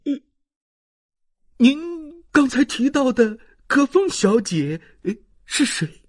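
An excitable, doting old grandfather from a classic novel. His high, husky voice swoops dramatically as he gushes and sighs in slow, drawn-out phrases. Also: dry recording, clean studio sound, heavily acted. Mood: astonished. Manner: effusive, dramatic sighs and exclamations.

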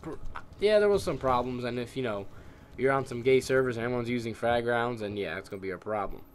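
A man's voice: medium pitch, quick pace. A young man with a tired, scratchy voice, rambling and swearing in casual commentary over his gameplay. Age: young adult. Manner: rambling, ranting, heavy swearing. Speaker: gamer.

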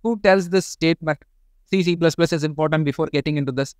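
A man's voice: on the high side, fast talker, clear, resonant tone, dry recording. A man in his thirties with an Indian English accent, talking animatedly to camera in a small home studio. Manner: animated, gestures a lot while explaining. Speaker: tech YouTuber. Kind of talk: casual talk to camera.

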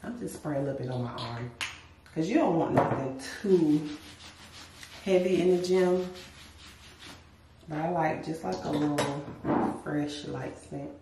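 A woman's voice: deep voice, measured pace, long pauses, background noise. A woman, chatting casually to camera at home in a vlog. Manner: relaxed, chatty, addresses viewers as y'all. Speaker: lifestyle vlogger. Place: bedroom at home, later a car. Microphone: camera a few feet away. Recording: roomy echo, distant from the mic.

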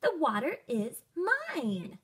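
A bratty, possessive little storybook frog, acted out by a woman. The voice is high and very animated. It whines and shouts in defiance. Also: slow pace, heavily acted. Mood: bossy, angry. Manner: loud, defiant, whiny exclaiming. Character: quarrelsome young frogs, shouting and possessive.

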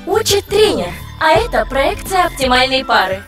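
Echoing, high little cartoon helper. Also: female voice, big pitch swings, moderate pace. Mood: matter-of-fact. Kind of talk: animated children's series acting. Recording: roomy, distant, music behind.

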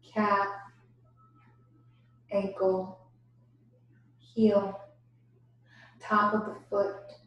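A woman leading a guided yoga nidra meditation as instructor, giving deliberate instructions broken by pauses. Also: mid-range voice. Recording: very echoey room, distant microphone.

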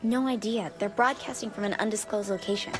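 A woman playing a paranoid, deadpan young computer hacker in a video game, talking in a dry, matter-of-fact way. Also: medium pitch, a little fast, lightly acted. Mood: urgent, dry. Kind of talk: video game cutscene acting. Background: background music.

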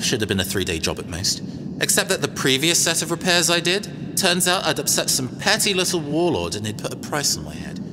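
A man's voice: mid-range voice, big-room sound, background noise, close to an everyday voice. A man playing a cagey, self-assured robot engineer mercenary in an audio drama, wry, conversational and hesitant.

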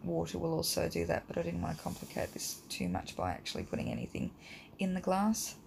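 A woman with a croaky voice from hay fever, teaching a drawing lesson in a relaxed, rambling way with many ums. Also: lowish voice, a little fast, a little hiss or hum. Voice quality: croaky, gravelly. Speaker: art teacher. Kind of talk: casual drawing tutorial voice-over.

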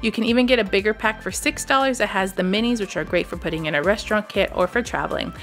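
A woman's voice: mid-range voice, quick pace, faint music behind. A woman in her thirties, a mother and channel host, narrating a scripted voice-over in an upbeat, recommending tone. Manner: upbeat, recommending toys to parents.

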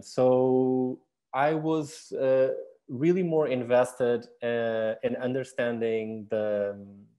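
A man's voice: medium pitch, mellow. A man in his thirties from Portugal, speaking English with a Portuguese accent, explaining his design work thoughtfully with many fillers and restarts. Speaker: architect and exhibition designer. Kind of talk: recorded talk answering questions.

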